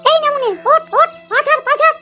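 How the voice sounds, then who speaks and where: A woman playing a bossy, scolding village wife in an amateur comedy cartoon, nagging and commanding through a squeaky pitched-up effect. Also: steady tone, quick pace, music in the background. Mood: angry.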